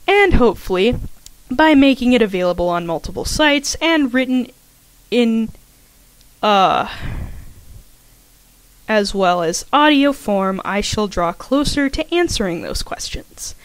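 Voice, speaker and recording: Woman with lively pitch, chatty scripted delivery. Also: measured pace, pauses often, dry recording, rough recording. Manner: chatty, self-aware asides. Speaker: fan fiction author and podfic narrator. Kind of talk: informal scripted foreword to an audio story.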